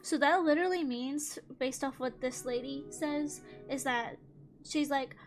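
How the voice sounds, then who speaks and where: A young woman giving casual, expressive commentary as she plays a game, reacting playfully. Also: high voice, measured pace. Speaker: gaming video host. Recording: game music behind the voice. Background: game music.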